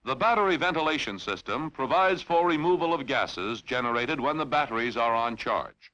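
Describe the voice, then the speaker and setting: Bright-voiced man narrating formally. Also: medium pitch, expressive, normal speed, highs cut off. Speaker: training film narrator. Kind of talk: scripted instructional narration.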